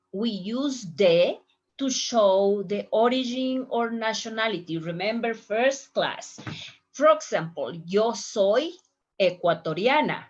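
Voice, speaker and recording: Lady teacher, slow, repeats examples, bouncy pitch, kinda muffled recording. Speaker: Spanish teacher. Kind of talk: online language lesson. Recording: dull lo-fi audio.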